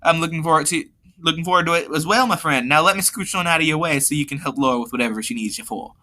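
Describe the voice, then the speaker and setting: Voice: mid-range voice, fast talker, sharp tone, lightly acted. A story reader voicing a friendly, courteous schoolboy with a folksy Southern US accent.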